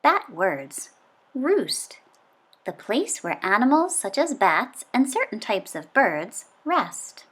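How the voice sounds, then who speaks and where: Woman, children's book read-aloud, slow and deliberate, lively intonation, clearly phrased. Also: a little high. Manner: expressive, clearly phrased delivery for young children.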